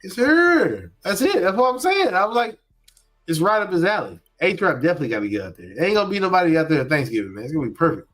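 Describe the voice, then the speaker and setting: A man co-hosting a casual podcast, excitable and teasing, repeating his lines. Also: fast talker. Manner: excitable, teasing, repeats phrases, high bursts when laughing. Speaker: podcast co-host. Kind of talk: casual chat.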